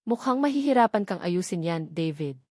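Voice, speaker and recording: A woman's voice: fairly low pitch, expressive, fairly quick, darkish tone, no echo, clean studio sound. A woman voicing a scheming, threatening male mayor in a dramatic story. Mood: menacing. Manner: smug, threatening.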